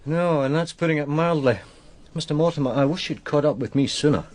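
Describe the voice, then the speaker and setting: An actor playing a dry, blunt and dutiful Scottish detective sergeant in a radio crime drama. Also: male voice, fairly high pitch, a little fast, darkish tone, slight background noise, natural voice. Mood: terse, exasperated.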